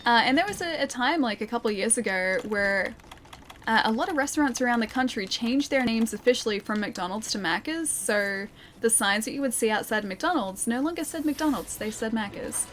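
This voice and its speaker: An Australian woman streaming a video game, chatting casually and reading viewer comments, with a slightly croaky voice. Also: fairly high pitch, expressive, a little fast, a little hiss or hum. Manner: chatty, rambling, frequent ums and laughs. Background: loud game music.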